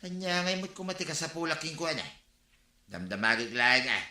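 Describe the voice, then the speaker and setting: An actor playing a lazy, drink-loving husband who grumbles defensively. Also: male voice, on the high side, sing-song, normal speed, sharp tone, reverberant, heavily acted. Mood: chatty. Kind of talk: Ilocano radio comedy drama acting.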